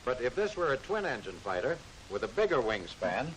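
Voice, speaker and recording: A man's voice: big pitch swings, fairly quick, a bit bright, poor audio. An American man reading scripted narration for a 1940s military training film, instructing the trainee directly. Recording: hissy 1940s film soundtrack, rumbling noise.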